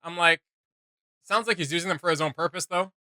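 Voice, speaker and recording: A man's voice: a little high, fast talker, sharp tone, dry recording, studio quality. A man hosting a reaction video with casual, off-the-cuff commentary, blunt and sarcastic as he rambles.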